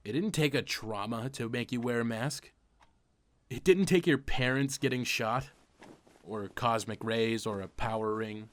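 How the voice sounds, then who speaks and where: A man's voice: medium pitch, measured pace, a bit bright, lightly acted. A man playing an earnest, wry teenage comic fan turned amateur superhero, narrating a comic dub in a confessional way. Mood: wry, reflective. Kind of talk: comic dub, first-person narration and dialogue.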